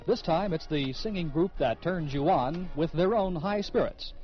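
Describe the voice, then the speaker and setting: Male American radio host, higher register, scripted announcer delivery; dull archival sound with music behind. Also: moderate pace.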